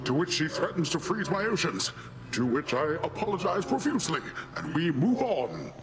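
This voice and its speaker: Goofy boastful sea god uncle for a video game, kinda high voice, big theatrical delivery, loud rushing noise behind. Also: male voice, wildly swooping pitch. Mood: jovial grumbling. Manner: grandiose, theatrical, jovial delivery. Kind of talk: video game character acting.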